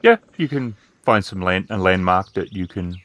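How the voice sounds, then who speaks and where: A man's voice: deep voice, animated, normal speed, fairly bright tone. A man acting as game master, describing scenes in a tabletop role-playing game.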